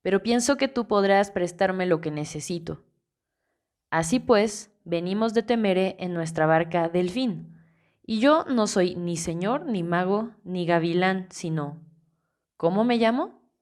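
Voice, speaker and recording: A woman reading the lines of a calm, wry older male archmage, in an easygoing, gently teasing way, in an audiobook. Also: lowish voice, measured pace, close to an everyday voice.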